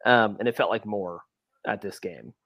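A man with a lowish voice, recorded dry with little room sound, chats on a sports podcast. He comes across as laid-back and understated. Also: normal speed, creaky, a bit bright, no echo. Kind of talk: casual sports podcast chat.

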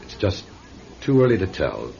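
Radio drama doctor, calm and kindly firm, expressive delivery with a creaky edge. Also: male voice, sits low, heavily acted. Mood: gentle, careful. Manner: measured, reassuring, firm. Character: calm, reassuring male doctor, gently authoritative. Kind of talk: classic radio drama acting. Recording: dull, noisy old radio recording.

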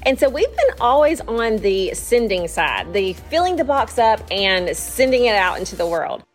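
A woman's voice: fairly high pitch, big pitch swings, faint music behind. A woman hosting a podcast in a casual, chatty way. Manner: chatty, spells out web addresses slowly. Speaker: podcast host.

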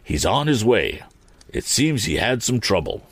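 A man's voice: fairly low pitch, very animated, measured pace, husky, no echo, pitched above the performer's own voice, full character voice. A man voicing a folksy gardener in a storybook, respectful but slyly knowing. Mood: sly, helpful. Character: deferential male gardener, sly and folksy.

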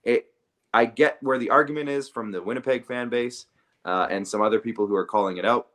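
A man's voice: mid-range voice, a little fast, a bit bright. A man co-hosting a sports podcast, casually debating and earnestly repeating himself to press his point.

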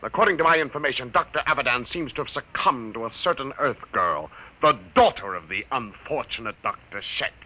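An actor playing a smooth, scheming male high minister in a 1950s radio drama. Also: expressive, a little fast, bright, forceful tone, low-quality recording. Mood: oily. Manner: smooth, insinuating.

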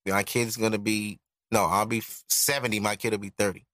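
A man's voice: lowish voice, flat delivery, brisk, fairly bright tone, no room sound. A man in his thirties co-hosting a podcast, talking candidly, joking and reflective. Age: 30s. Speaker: podcast co-host. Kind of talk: casual chat.

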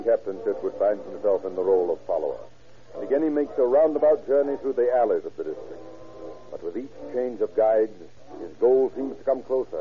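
A man narrating a vintage radio drama in a measured, dramatic storytelling style. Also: on the low side. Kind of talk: 1940s radio scripted narration. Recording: muffled old radio recording.

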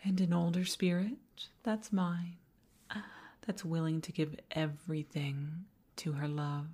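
A woman playing an obsessive older female boss in an intimate audio roleplay, coaxing with condescending sweetness and slipping into breathy half-whispers. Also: low-pitched, little pitch movement, takes it slowly, warm tone, heavily acted. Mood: possessive, obsessive.

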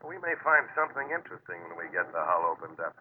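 Low-voiced laconic diver, crackly radio. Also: male voice, sing-song, quick pace. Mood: cool, questioning. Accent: American. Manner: dry, terse, matter-of-fact. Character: cool, laconic male deep-sea salvage diver. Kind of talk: old-time American radio drama acting and narration. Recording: noisy, narrow-band old radio recording.

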